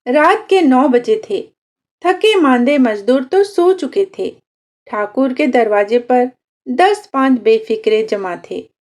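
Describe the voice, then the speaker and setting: A woman narrates a short story in a high voice. Her reading is very slow and deliberate, with lively, dramatic rises and falls. It is expressive scripted storytelling.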